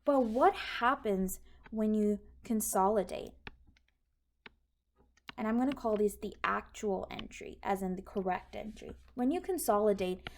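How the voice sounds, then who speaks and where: A woman's voice: mid-range voice, a little slow. A woman instructor explaining accounting in an informal, step-by-step tutorial style. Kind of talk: explanatory tutorial over handwritten notes. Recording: clearly noisy recording with clicks.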